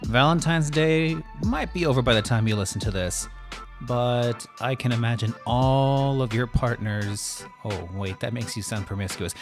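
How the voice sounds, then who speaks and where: Irreverent man in his thirties. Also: mid-range voice. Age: 30s. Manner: irreverent, joking, profane. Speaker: podcast co-host. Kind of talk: casual banter and commentary.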